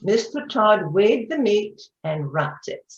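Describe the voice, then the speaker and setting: A woman's voice: mid-range voice, big pitch swings, slow. A woman narrating a children's story for readers theatre, recorded with a dull, echoey sound.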